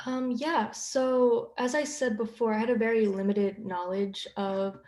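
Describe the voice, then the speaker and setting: A young woman answering panel questions earnestly, pausing often to find her words. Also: a little high, steady tone, darkish tone, roomy sound. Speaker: playwright.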